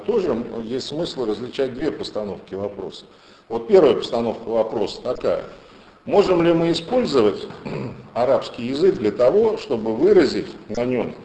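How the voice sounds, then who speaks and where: A man's voice: medium pitch, dark tone. A man giving an unscripted academic lecture on language and thought, thinking aloud with restarts and emphatic rises. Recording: roomy echo.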